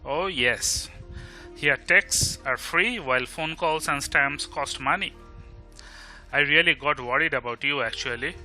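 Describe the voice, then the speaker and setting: A man with an Indian Bengali accent playing a caring, teasing young male friend in a bilingual audio drama, asking after someone and gently chiding. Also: a little high, a little slow, soft background music. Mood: relieved, protective. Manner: solicitous, gently chiding, asking many questions.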